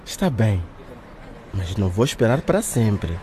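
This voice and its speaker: A man acting a persistent, smooth-talking young suitor in a radio drama, wooing and insistent. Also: fairly low pitch, sing-song, normal speed, background noise. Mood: pleading.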